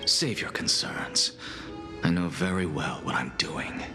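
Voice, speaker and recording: An actor playing a proud, aloof scholar in a video game, lecturing formally with cool, measured disdain. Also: male voice, low voice, whispered, music in the background. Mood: cool, dismissive.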